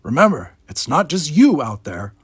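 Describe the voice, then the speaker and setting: A man's voice: fairly high pitch, big pitch swings, moderate pace, slightly rough, no room sound, pitched above the performer's own voice, full character voice. A man voicing a grumpy, nosy little old male gardener, with a blunt, barking and emphatic delivery. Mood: earnest mentoring. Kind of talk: children's novel read-aloud character voice.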